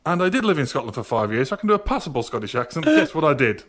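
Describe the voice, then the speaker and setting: A man's voice: on the high side, very animated, rapid-fire, fairly bright tone. A man with a Northern English accent, co-hosting a podcast and telling comic anecdotes in a wry, sarcastic way. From northern England. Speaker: podcast co-host. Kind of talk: casual comic anecdote chat.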